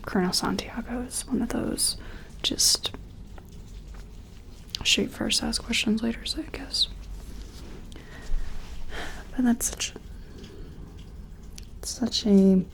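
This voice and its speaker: A woman playing a timid, awed scientist in a sci-fi ASMR roleplay, whispering and murmuring gently with hesitant, musing phrasing. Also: mid-range voice, fairly even intonation, slow pace, pauses often, low-quality recording. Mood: musing, wry. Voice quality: breathy whisper mixed with soft, creaky murmur.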